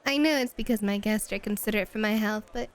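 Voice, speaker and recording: A woman playing a flirty, teasing bunny-club hostess in an ASMR roleplay, with coy, drawn-out phrasing. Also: medium pitch, close to an everyday voice.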